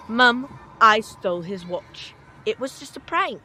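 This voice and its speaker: A woman's voice: a little high, expressive, measured pace, bright, forceful tone. A woman voicing a cheeky, stubborn young boy with a blunt, childlike manner in an audio drama. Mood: defiant, pleading.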